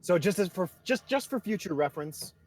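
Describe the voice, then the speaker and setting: A man's voice: high-pitched, animated, brisk, darkish tone. A man talking casually and swearing freely as game master in a livestreamed tabletop game. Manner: informal, sweary.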